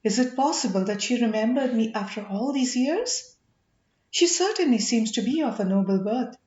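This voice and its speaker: A charming young gem merchant read by a woman speaks in a storybook. The delivery is deliberate and persuasive, with lively intonation, and the recording is roomy and a little dull. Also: fairly high pitch, close to an everyday voice. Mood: anxious wondering.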